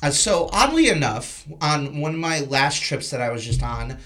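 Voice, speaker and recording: Higher-voiced man, casual podcast host. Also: very animated, moderate pace, a bit bright. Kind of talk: casual film discussion.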